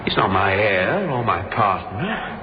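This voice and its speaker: An actor playing a trapped, freezing businessman in a radio thriller, talking to himself in a halting, weakening, despairing way. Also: on the low side, very animated. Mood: dawning suspicion. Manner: halting, brooding monologue broken by desperate outbursts. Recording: muffled lo-fi audio, clearly noisy.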